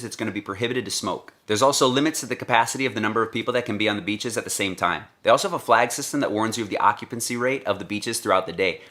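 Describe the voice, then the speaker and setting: A man's voice: fairly low pitch, fairly bright tone. A man presenting a travel vlog in a casual, enthusiastic, informational style. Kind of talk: upbeat informational vlog narration.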